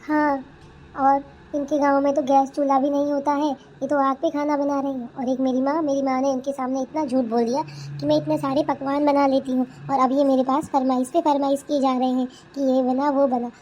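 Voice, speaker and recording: A woman's voice: high voice, little pitch movement, a little fast, a little mellow, muffled recording, a little hiss or hum, natural voice. A woman voicing a defiant, complaining young daughter-in-law in a comedy cartoon. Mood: exasperated. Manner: indignant, complaining, talks back. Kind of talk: Hindi cartoon family comedy dubbing.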